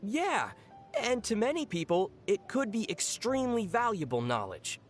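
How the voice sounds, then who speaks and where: Young adventurer, voiced by an actor, calm temper, gently teasing, animated intonation, video game dialogue, music behind. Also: medium pitch, normal speed, lightly acted. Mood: calm, informative. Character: young traveler hero, calm, teasing, patient companion.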